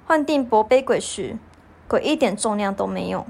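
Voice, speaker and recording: A woman's voice: mid-range voice, lively intonation, normal speed, vocal fry, darkish tone. A teenage girl narrating a scripted story for a student radio drama.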